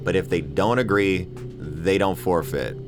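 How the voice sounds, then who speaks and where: A man's voice: sits low, unhurried, over music. A man hosting a movie review video, giving comedic commentary in a wry, mock-exasperated way with chuckles.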